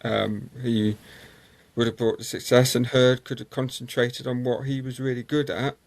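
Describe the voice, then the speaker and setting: A man's voice: medium pitch, level tone, moderate pace, vocal fry. Hesitant man telling racing history. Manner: rambling, many ums and uhs, anecdotal. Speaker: motorsport historian guest. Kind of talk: conversational interview storytelling.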